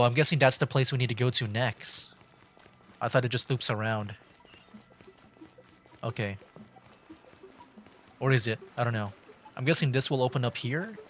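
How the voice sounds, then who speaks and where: A man streaming a game, casually commenting as he works through puzzles, thinking aloud with halting restarts. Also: medium pitch, fairly bright tone, slight background noise.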